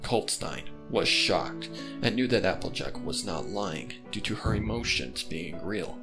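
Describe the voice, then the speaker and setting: A man reading scripted fan fiction as the narrator of a dark mystery. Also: low voice, expressive, measured pace. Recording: background music under the voice.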